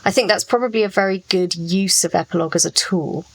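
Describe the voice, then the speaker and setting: A woman's voice: on the low side, fast, a bit bright, husky. A woman co-hosting a podcast, talking in a casual discussion style. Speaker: podcast co-host and writer.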